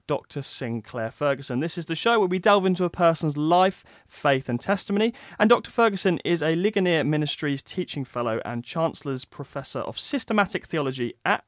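Upbeat higher-voiced male podcast host. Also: no room sound, studio quality. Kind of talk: interview hosting.

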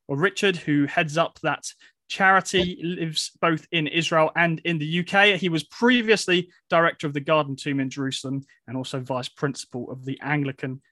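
A man's voice: fairly high pitch, sharp tone, no room sound. A man hosting a radio interview show, with a polished, upbeat presenter delivery in a studio. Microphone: studio mic.

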